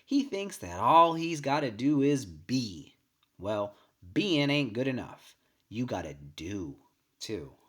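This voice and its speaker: A man's voice: mid-range voice, big pitch swings, slow. Man voicing a scornful, nagging farm mother.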